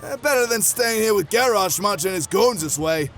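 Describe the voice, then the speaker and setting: Man voicing a high-pitched, unruffled goblin leader. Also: animated, moderate pace, fairly bright tone, soft background music, some chatter behind. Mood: calm, persuasive. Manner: unruffled, folksy, smug. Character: level-headed, wry male goblin leader and rival. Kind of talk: fan audio drama character acting.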